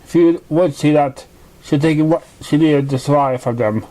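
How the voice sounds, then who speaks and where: A man reading a story aloud as narration, halting and often stumbling over the words. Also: fairly high pitch, mellow. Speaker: amateur fan fiction reader.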